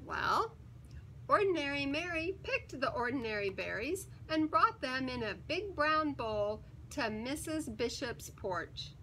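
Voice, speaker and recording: A woman reading a children's picture book aloud in an expressive, theatrical way, with emphatic words and dramatic pauses. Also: high voice, a little hiss or hum, rough recording.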